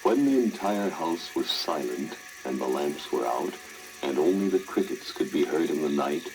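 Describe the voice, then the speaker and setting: A man's voice: very deep voice, animated, normal speed, rough recording. A man narrating a scripted children's fairy tale as a storyteller. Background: music.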